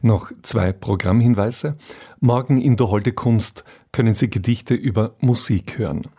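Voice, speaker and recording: A man radio announcer calmly giving program notes, with a husky voice. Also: mid-range voice, unhurried.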